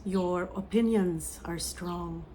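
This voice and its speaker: A woman with a Filipino accent, a psychic medium giving an oracle reading and channeling a message in a slow, soft, meditative way. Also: lowish voice, slight background noise. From Philippines.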